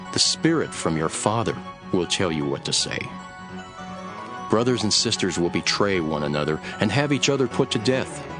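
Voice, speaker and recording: A grave male teacher in a scripture audio drama. His voice is low and he speaks in measured, solemn declarations with calm authority. Faint music runs behind him. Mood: stern, grave.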